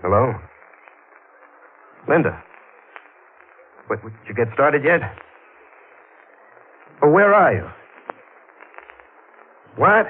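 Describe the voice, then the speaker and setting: A man's voice: medium pitch, sing-song, extremely slow, pauses often, breathy edge, full character voice. A man playing a menacing escaped killer in an old radio crime drama, curt, commanding and sardonic. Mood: rattled, desperate. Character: menacing escaped killer, adult man, cunning, holding a housewife hostage. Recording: tinny old radio recording with hiss.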